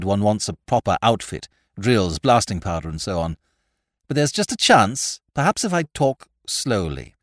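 Man doing a sardonic gentleman rogue. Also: mid-range voice, dry recording, clean studio sound. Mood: sarcastic. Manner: ironic, theatrical, exasperated tirades. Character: witty gentleman ex-thief, exasperated, sardonic, man.

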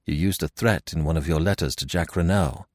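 A man voicing an earnest, lovestruck young gentleman with an intense manner in an audiobook. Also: extremely low pitch, a little fast, no echo, clean studio sound, voice pushed down. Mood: accusing. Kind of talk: audiobook character dialogue.

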